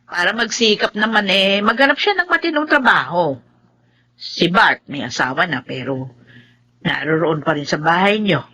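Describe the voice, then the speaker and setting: A woman acting in a radio drama as a concerned older Filipina aunt, giving frank advice in an emphatic, husky, expressive voice. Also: fairly low pitch, normal speed, exaggerated voice. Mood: concerned, advising. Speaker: drama actor.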